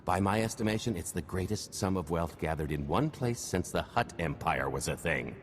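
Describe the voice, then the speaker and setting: A low, lively male voice in an echoing space with some background noise: a sly alien con artist for a video game. He talks in breezy salesman patter, smooth and shifty. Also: moderate pace. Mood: confident pitch. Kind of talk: video game cutscene acting. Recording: echoing hall, background hum.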